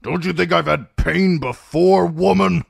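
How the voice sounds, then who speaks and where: A man voicing a grouchy, proud old man of a clan in a storybook, with a creaky, grumbling delivery. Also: on the high side, measured pace, no room sound, clean studio sound, pitched above the performer's own voice, heavily acted. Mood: irritable, defensive. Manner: grumbling, impatient, snapping.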